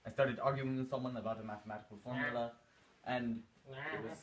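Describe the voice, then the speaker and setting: A man's voice: medium pitch, fairly even intonation, fairly quick, crowd noise, lightly acted. A man playing a proud noseless astronomer who speaks in a stiff, formal way. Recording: echoey room.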